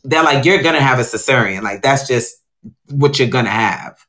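A woman's voice: extremely low pitch, animated, fairly bright tone. Candid woman chef telling her story. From Guyana. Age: 40s. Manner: candid, storytelling, drawn-out emphasis. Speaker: guest chef. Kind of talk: video call interview. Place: home room. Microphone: video call.

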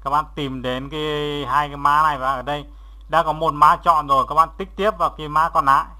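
Guy doing a screen tutorial, really sharp bright voice, kinda high, stop and start teaching. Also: little pitch movement, brisk. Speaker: accounting software instructor. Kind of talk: step-by-step screen tutorial narration.

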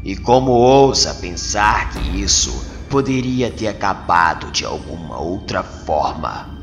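A man's voice: low voice, heavily acted. A man voicing a cruel, arrogant alien overlord in a motion comic dub, pompous and menacing in his scorn for humanity. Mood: scornful. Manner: pompous, slow, menacing delivery. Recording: long cavernous reverb under loud music.

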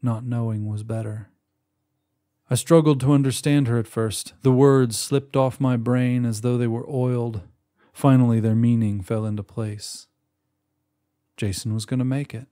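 A man narrating a first-person short story in a measured, expressive way with dramatic pauses. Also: medium pitch. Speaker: audiobook narrator.

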